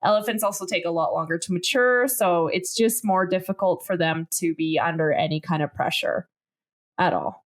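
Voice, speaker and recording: A woman's voice: on the low side, moderate pace. A young adult woman hosting a science podcast in casual chat, joking and explaining plainly. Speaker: podcast host.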